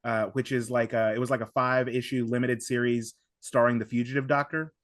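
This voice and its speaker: A man talking casually as a podcast guest, giving recommendations in an effusive, halting way with emphatic superlatives. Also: mid-range voice, little pitch movement, no room sound, studio quality.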